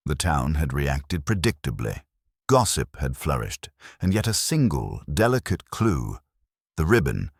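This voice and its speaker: Very deep male story narrator, dry recording. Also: dry recording. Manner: measured, literary storytelling. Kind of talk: scripted detective story narration.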